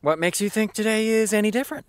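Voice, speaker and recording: Man acting a high-voiced, sarcastic showrunner. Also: fast talker, smooth, dry recording, close to an everyday voice. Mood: dry, flippant. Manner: sarcastic, theatrical ranting. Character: flippant, sleepless hard-drinking TV showrunner, exasperated and rambling. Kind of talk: naturalistic audio drama dialogue.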